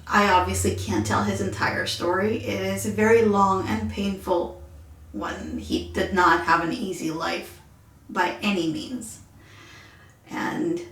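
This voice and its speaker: Ukrainian-accented woman vlogger, mid pitch, quiet halting delivery, roomy echo. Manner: soft-spoken, halting with fillers. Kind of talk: casual personal vlog.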